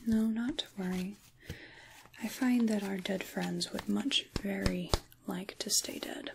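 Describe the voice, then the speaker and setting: A woman playing an ancient, kindly witch in a soft-spoken ASMR role-play, with breathy, whispery speech and a musing, wry, gently reassuring manner. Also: medium pitch, unhurried, background noise. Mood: soothing, reflective.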